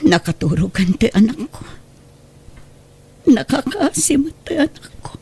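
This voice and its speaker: A woman's voice: on the high side, vocal fry, darkish tone, heavily acted. A woman playing a hurt, long-suffering single mother in a radio drama, pleading for her child in an Ilocano accent. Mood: tearful. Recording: music bed under the voice.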